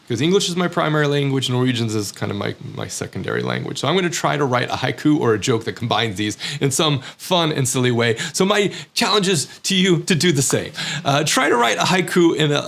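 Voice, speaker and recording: A man with an American accent, talking in a hesitant, reflective way with many pauses and self-corrections. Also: medium pitch, fairly bright tone. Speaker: academic vlogger. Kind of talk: casual reflective monologue.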